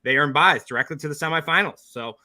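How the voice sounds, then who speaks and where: A man co-hosting a sports betting podcast, energetic and chummy as he breaks down a college basketball matchup. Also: fairly high pitch, quick pace. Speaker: podcast co-host, sports bettor.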